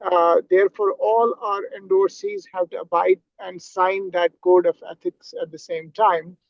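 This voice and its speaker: A man representing a chaplain endorsing body, explaining requirements on a video call in a measured, formal way. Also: high-pitched, steady tone, vocal fry, no echo. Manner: measured, formal, occasional filler. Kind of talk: explaining a process on a panel. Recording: dry, dull lo-fi call audio.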